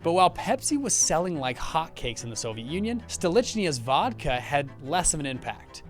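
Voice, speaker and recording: A young man, a show host, telling a scripted business story with upbeat, jokey asides. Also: a little high. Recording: light background music.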